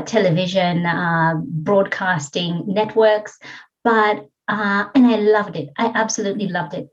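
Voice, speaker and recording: A woman's voice: medium pitch, lo-fi sound. A middle-aged woman from Kenya with a Kenyan accent, a podcast guest telling her story earnestly and reflectively, with many fillers. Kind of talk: interview conversation.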